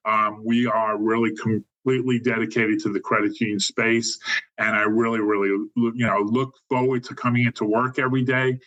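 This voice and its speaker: A man in his sixties with a strong New York accent, telling his story in a loose, chatty way full of "um"s and wry jokes about himself. His voice sits low but rings bright and forward. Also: little pitch movement, normal speed, highs cut off. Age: 60s. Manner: chatty, self-deprecating, filler-heavy. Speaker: guest, mortgage insurance sales executive. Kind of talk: conversational podcast interview.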